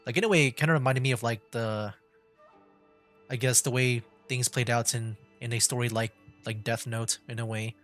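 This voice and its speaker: Game streamer: medium pitch, informal, loosely phrased commentary over faint game music. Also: steady tone, normal speed. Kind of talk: casual livestream commentary, reading game dialogue aloud. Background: video game music.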